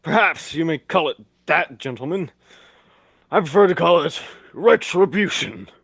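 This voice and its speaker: A woman playing a dramatic, old-fashioned male circus gentleman in a 1940s style radio play. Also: low voice, expressive, a little slow, creaky, slightly rough, no echo, clean studio sound, full character voice. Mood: solemn.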